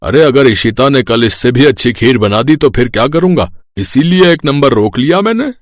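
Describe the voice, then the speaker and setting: A man's voice: mid-range voice, expressive, moderate pace, no echo, lightly acted. Storybook father-in-law male actor: older, jovial, hearty, joking food lover, dry recording. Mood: pleased, joking. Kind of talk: acted Hindi family storybook dialogue.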